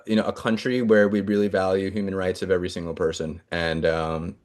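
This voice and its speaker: A man running for office, answering interview questions earnestly with frequent fillers and restarts. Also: deep voice. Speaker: congressional candidate.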